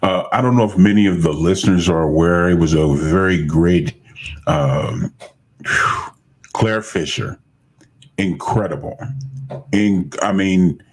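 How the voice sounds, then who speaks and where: Man, very deep voice, husky, admiring, fumbles for words, podcast host. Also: unhurried, pauses often, darkish tone, low-quality recording. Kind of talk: podcast interview.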